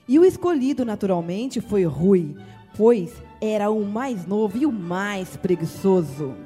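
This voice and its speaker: A narrator reading a radio drama in a measured storytelling manner. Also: mid-range voice, dark tone.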